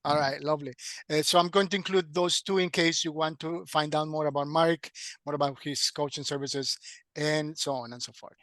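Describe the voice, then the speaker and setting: Higher-voiced male podcast host, halting delivery. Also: fairly even intonation, fairly bright tone, no echo, studio quality. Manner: halting, hesitant delivery with repeated words. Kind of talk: hosting an online interview.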